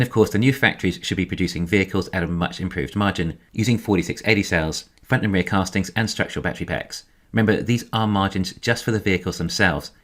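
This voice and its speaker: A man giving a scripted financial commentary in a measured, explanatory way. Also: low voice, fairly bright tone. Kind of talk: scripted narration, financial analysis.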